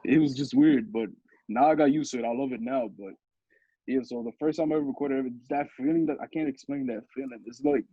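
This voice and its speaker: Young male guest, deep husky voice, laid-back rambling talk, dull recording. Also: brisk, no room sound. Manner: relaxed, rambling with restarts and laughs. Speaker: interview guest, musician. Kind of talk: casual interview talk.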